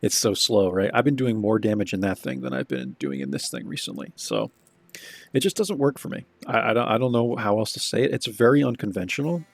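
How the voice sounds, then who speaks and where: A man giving laid-back, rambling commentary over his own gameplay, his voice a bit hoarse and cracking. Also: lowish voice, lively intonation, brisk, no room sound.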